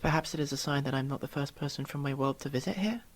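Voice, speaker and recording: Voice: mid-range voice, fast, close to an everyday voice. A performer voicing a curious, proper young elf mage student in an ASMR roleplay, inquisitive and a little formal, with hesitant stammers. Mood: explaining, composed. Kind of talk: ASMR roleplay, one-sided dialogue with the listener.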